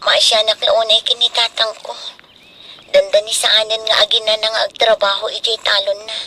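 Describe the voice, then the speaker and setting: An earnest young daughter in a radio drama. Her voice sits high and bright, and she speaks with anxious, pleading emotion, like a student burdened by duty. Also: little pitch movement, normal speed, slight background noise. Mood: worried, guilty.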